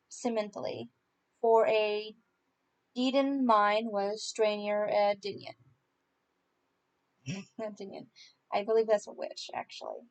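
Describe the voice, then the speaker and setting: A woman hosting a story podcast, reading folk tales aloud with informal asides and stumbling haltingly over unfamiliar words. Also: on the high side, darkish tone, poor audio. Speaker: podcast host and story reader.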